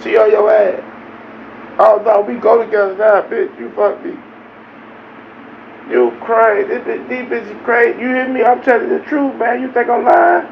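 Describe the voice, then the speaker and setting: A man's voice: very high voice, moderate pace, vocal fry, lo-fi sound, slight background noise. A man hosting a live reaction stream, talking in casual, profane banter straight to his viewers. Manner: animated, talks straight to viewers. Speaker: livestream reaction host.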